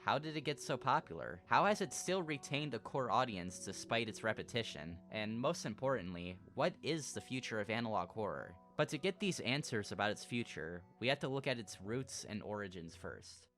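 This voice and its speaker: A man reading scripted video essay narration in an explanatory, measured way. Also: medium pitch, sing-song, fairly bright tone. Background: background music.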